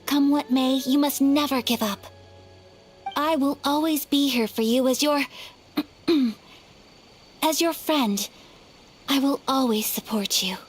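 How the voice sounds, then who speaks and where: A woman playing a refined, tender young noblewoman in an anime-style game, speaking softly and politely with a poetic, sometimes breathy delivery. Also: sits high, slow pace, pauses often, soft background music. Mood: tender, earnest.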